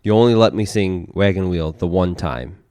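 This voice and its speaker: A man's voice: low voice, lively intonation, warm tone, no echo, clean studio sound. A man co-hosting a casual podcast, arguing his side and telling long stories. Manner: argumentative, drawn-out storytelling. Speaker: podcast co-host. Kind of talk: casual banter over drinks.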